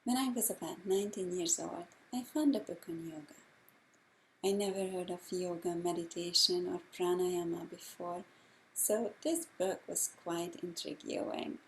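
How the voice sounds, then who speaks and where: Middle-aged hungarian lady, breathwork guide, calm measured talk, faint hiss behind. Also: fairly low pitch, rough recording. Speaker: breathwork instructor.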